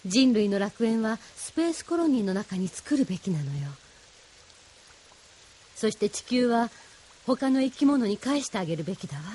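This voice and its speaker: A woman's voice: fairly high pitch, lively intonation, normal speed, long pauses, mellow. A woman playing an idealistic, solemnly persuasive mentor in a Japanese audio drama, with a didactic, feminine way of speaking.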